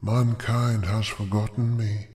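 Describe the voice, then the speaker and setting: A man voicing an ancient, imprisoned female dragon goddess who speaks slowly and with command. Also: fairly low pitch, little pitch movement, a little mellow. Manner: slow, measured, otherworldly.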